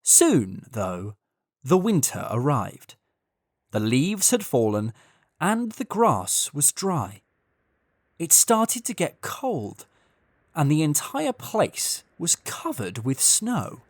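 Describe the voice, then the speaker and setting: A storyteller reads a children's tale at an unhurried, deliberate pace. The pitch swings expressively from phrase to phrase, and the sound is dry with no room around it. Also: wildly swooping pitch, no echo. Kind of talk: scripted children's story narration.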